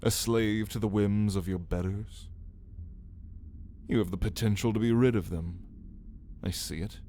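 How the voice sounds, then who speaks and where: A man's voice: fairly low pitch, fairly even intonation, measured pace, dark tone, a little hiss or hum. A man playing a brooding, menacing caged vampire in an ASMR roleplay, speaking in a theatrical, taunting way with archaic wording. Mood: goading. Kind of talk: ASMR roleplay, dramatic monologue to listener.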